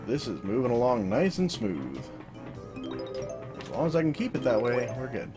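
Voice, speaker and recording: A woman talking through solo gameplay commentary in a casual, monotone, self-deprecating way, swearing now and then. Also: a bass voice, normal speed. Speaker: let's play commentator. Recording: game music loud behind voice. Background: video game music.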